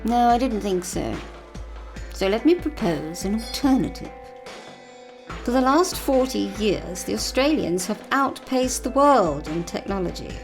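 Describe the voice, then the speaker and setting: A mysterious, secretive woman, voiced by a woman speaks in a cool, knowing, measured manner. Her voice has a gravelly edge and moves animatedly up and down. Soft music plays behind her. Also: mid-range voice, very animated.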